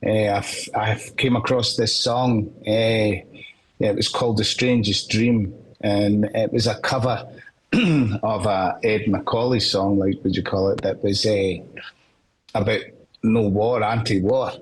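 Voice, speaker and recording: Scottish man, husky, lowish pitch, earnest, reflective, podcast guest. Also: steady tone, takes it slowly, poor audio. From Scotland. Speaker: guest singer-songwriter. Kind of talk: podcast interview.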